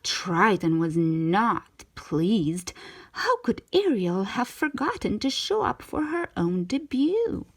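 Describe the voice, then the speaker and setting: Female storybook narrator for young children, slow and lively, with a slightly breathy edge. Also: mid-range voice. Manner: expressive, measured storytelling for children. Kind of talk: scripted storybook narration, read aloud.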